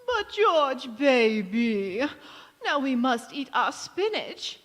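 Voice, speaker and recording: Woman acting older doting mother-in-law, high swooping voice, sugary condescension, radio comedy, reverberant hall. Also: big pitch swings, slow pace, a bit bright, exaggerated voice. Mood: cooing, fussing. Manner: cooing, sugary, mock-polite. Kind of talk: 1940s radio comedy acting, staged revival. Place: community theatre stage in a church. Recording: echoey hall, long reverb.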